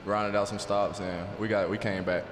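A man's voice: fairly low pitch, level tone, moderate pace, noisy background. A man in his early twenties, a college basketball player, chatting casually in a post-game interview. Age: early 20s. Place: courtside in a large basketball arena. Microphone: handheld broadcast microphone. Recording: echoey arena, clear music behind.

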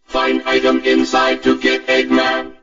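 A relentless heavy battle robot for a video game, speaking in clipped, commanding machine phrases. The processed robotic voice is very gravelly and echoey, and the sound is dulled. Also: male voice, very high voice, completely flat delivery, measured pace, highs cut off, exaggerated voice. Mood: mechanical, matter-of-fact. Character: blunt, relentless heavy combat robot out to destroy. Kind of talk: video game character lines. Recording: band-limited game clip over loud music.

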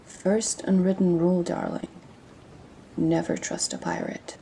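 A woman's voice: fairly low pitch, little pitch movement, measured pace, creaky, mellow, a little hiss or hum, low-quality recording. A woman playing a bold, teasing pirate captain in an audio roleplay, speaking in a cocky, flirtatious manner. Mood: confiding, proud. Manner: cocky, flirtatious, addressing listener with pet names.

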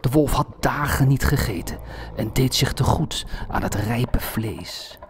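Male storyteller, unhurried pace, mid-pitched, measured scripted delivery for a fairy-tale audiobook. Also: slightly rough.

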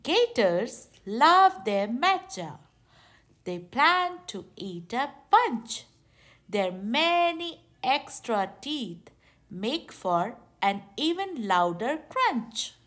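A woman narrating a children's picture book aloud in a deliberate, expressive way. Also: a little high. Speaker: children's storybook reader.

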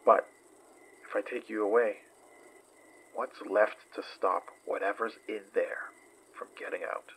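An actor in a horror audio drama playing a gentle, determined man who speaks in a hushed, halting, soothing way with a breathy, rough edge. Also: on the low side, fairly even intonation, slight background noise, low-quality recording. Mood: hushed, wary. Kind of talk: audio drama acting, horror fiction podcast.

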